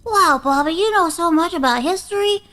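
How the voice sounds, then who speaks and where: A woman's voice: extremely high pitch, animated, moderate pace, fairly bright tone. A woman playing a timid, naive little sister in a radio drama, childlike and wide-eyed. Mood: wide-eyed wonder.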